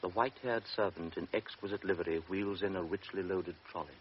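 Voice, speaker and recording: A man narrating a radio drama in a measured, present-tense storytelling manner. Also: deep voice. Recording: dull old radio recording with faint hiss.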